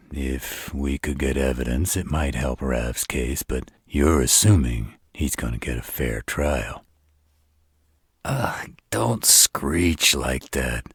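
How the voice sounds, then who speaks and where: A man voicing a gruff, world-weary, protective supernatural fighter in a roleplay audio drama, with a raspy, breathy voice and a deliberate, slangy way of talking. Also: extremely low pitch, no room sound, studio quality, full character voice. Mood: worried, then irritated.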